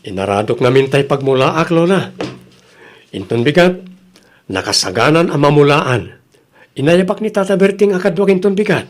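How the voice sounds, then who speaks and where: Man playing an earnest Ilocano farmer, higher-pitched. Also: expressive, normal speed, long pauses, lightly acted. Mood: calm, reassuring. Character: hardworking, upright young farmer grandson. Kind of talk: radio drama acting.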